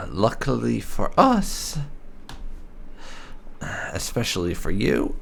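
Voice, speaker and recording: A man hosts a casual game playthrough, thinking aloud as he goes. His low voice moves slowly but with lively swings in pitch. Faint music plays behind him. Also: creaky. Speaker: gaming channel host. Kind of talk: casual video game playthrough commentary with light roleplay.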